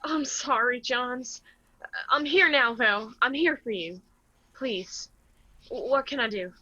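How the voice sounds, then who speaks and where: High, slow, sorrowful Appalachian young woman. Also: highs cut off, full character voice. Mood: tender. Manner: soft-hearted, sorrowful, halting. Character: grieving, gentle young mountain woman. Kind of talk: radio drama acting.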